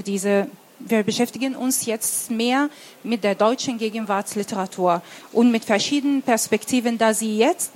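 A woman's voice: fairly high pitch, a little mellow. A woman answering as an interview guest, searching for words with restarts and fillers.

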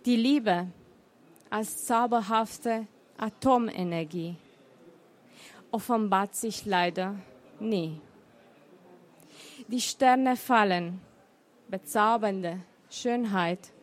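A middle-aged woman whose first language is Romanian, with a Romanian accent, reading her poems in a deliberate, emotional way. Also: fairly high pitch. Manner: slow, deliberate, emotional recitation. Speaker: poet and author. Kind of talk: poetry reading.

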